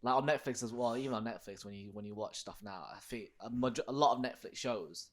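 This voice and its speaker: A man's voice: mid-range voice, fairly quick. A man hosting a casual podcast chat, rambling and searching for words. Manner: rambling, many fillers and restarts, searches for words.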